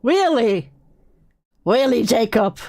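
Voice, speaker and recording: Sarcastic woman reacting, slightly high voice. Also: animated, slow, darkish tone, no echo, clean studio sound. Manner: sarcastic, interjecting, repeats phrases mockingly. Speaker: reaction video host. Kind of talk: casual mocking live commentary.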